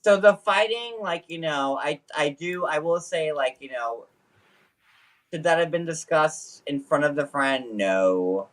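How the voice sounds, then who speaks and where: A jokey man hosting a livestream, rambling through fillers and restarts. His voice swings widely in pitch, rising and falling as he talks. He keeps a loose, playful manner throughout. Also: animated, a little slow, a bit bright. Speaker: host. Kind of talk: casual live-stream chat.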